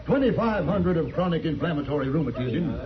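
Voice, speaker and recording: Man acting a high-voiced, pompous medicine-show huckster. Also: animated, fairly quick, lightly acted. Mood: grand sales pitch. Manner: florid, declamatory sales oratory. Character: pompous traveling medicine-show quack professor, slick and theatrical. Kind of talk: old-time radio drama acting. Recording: dull old radio recording, crowd behind.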